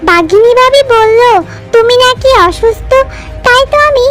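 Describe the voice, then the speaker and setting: A woman's voice: extremely high pitch, moderate pace, over music. A woman playing a caring, easily worried mother fox in a cartoon, earnest and motherly.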